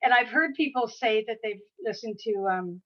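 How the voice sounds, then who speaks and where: A woman's voice: a little high, moderate pace. A woman in her sixties, a guest author talking casually over a video call, chatty and rambling with many restarts. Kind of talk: casual conversation. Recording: dull lo-fi audio.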